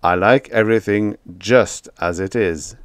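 A man voicing a secretive, nervous male vampire lodger who talks in a loud, clipped, guarded way. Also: on the low side, measured pace, dry recording, lightly acted.

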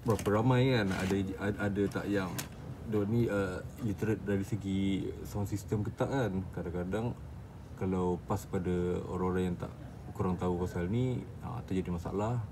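A man's voice: sits low, steady tone, normal speed, background noise. A young man with a Malaysian accent explaining technical things casually, hesitating and searching for words. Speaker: audio specialist. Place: small cluttered office, indoors.

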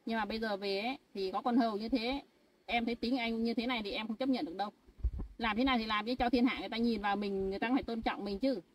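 A woman's voice: on the high side, steady tone, rapid-fire, recorded from a distance, a little hiss or hum, close to an everyday voice, low-quality recording. A woman playing a jealous young wife who talks in an insistent, accusing, threatening way in an amateur family skit. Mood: complaining. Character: jealous young wife, sharp-tongued and stubborn.

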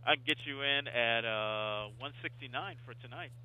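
A man's voice: mid-range voice, moderate pace, pushed and bright, clean tone. A man working as a hotel call center agent, easygoing and obliging, talking casually over a phone line. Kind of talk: casual customer service phone talk. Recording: dull, band-limited phone line.

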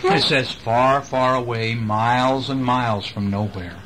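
A middle-aged man reading a picture storybook aloud to a child in an expressive way, with chatty asides and questions. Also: mid-range voice, a little slow, over a noisy crowd, poor audio. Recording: home recording with faint background noise.

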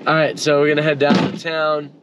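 A man's voice: on the high side, completely flat delivery, fairly quick, heavy background noise. A young man bantering casually on camera inside a small camper van, laid-back and joking, with music underneath. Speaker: van life vlogger. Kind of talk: casual vlog chat. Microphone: handheld action camera at arm's length.

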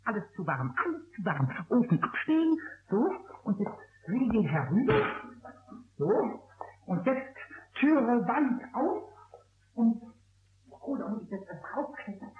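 A man voicing a small, cheeky, stubborn kobold in a 1960s radio play, squeaky and childlike, stammering and repeating words. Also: very animated, measured pace, heavily acted. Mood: busy, self-talking. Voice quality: squeaky, high. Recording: tinny lo-fi old radio recording.

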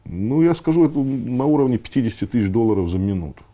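A man in his sixties giving conversational interview answers, explaining haltingly and posing rhetorical questions. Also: medium pitch, animated, crowd noise. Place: meeting room, indoors.

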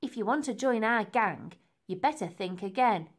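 A woman's voice: mid-range voice, lively intonation, normal speed. A woman voicing tough, hostile street-gang children in a storybook, bossy and confrontational. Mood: threatening.

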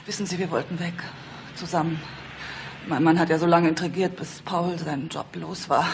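An actress playing a bitter, wounded wealthy wife in a radio play, with a creaky voice. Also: female voice, deep voice, fairly even intonation, normal speed, noisy background. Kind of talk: German radio play acting.

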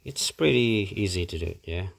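A middle-aged man with a Filipino accent, off camera in a carpeted room, speaking in a halting, casual way with many fillers and restarts as he shows an item to a handheld phone camera. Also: deep voice, expressive, creaky, slight background noise. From Philippines. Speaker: product reviewer. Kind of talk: casual unboxing commentary.

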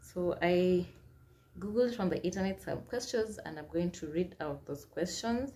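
A woman's voice: fairly low pitch, normal speed, warm tone. A young Kenyan woman in her twenties, with a Kenyan accent, casually reading out get-to-know-me questions and answering them, with Swahili phrases mixed in. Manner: chatty, reads questions aloud then answers, mixes in Swahili phrases. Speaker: YouTuber. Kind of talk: casual Q&A vlog. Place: small indoor room, seated at a plain wall.

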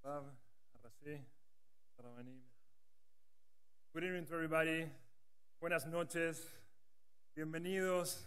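A man gives a conference speech from the podium. His voice sits high for a man. He tells his story earnestly into the podium microphone of a large hall. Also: steady tone, a little slow, pauses often, fairly bright tone, clear, resonant tone. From Latin America. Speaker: rabbi, branch director.

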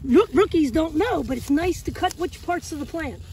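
A woman's voice: high voice, expressive, normal speed, darkish tone. A middle-aged woman hosting an on-site farm interview, chatty and prompting, speaking straight to the audience. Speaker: extension host interviewer. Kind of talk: on-site interview and narration to viewers. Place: outdoors on a farm.

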